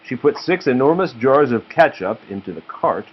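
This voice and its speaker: Male storyteller, dad at home reading to kids; patient, explanatory, animated intonation. Muffled amateur sound, light noise. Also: medium pitch, moderate pace. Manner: patient, stops to explain words and answer questions. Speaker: father reading a picture book to his children. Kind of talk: read-aloud storytelling with asides to children. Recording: dull home recording with faint noise.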